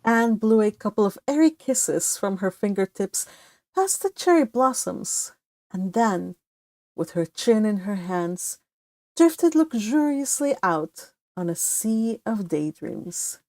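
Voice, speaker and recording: A woman's voice: mid-range voice, lively intonation, slow, darkish tone, dry recording. A young woman from Mexico hosting a book club, chatty and laughing often, with lots of fillers. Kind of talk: casual chat and reading aloud.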